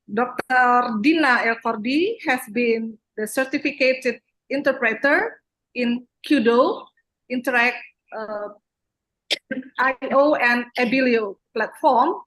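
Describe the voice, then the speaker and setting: A woman's voice: high voice, fairly bright tone, rough recording. A woman moderates a seminar session, formally reading out a speaker's introduction. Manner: formal, reads a prepared introduction.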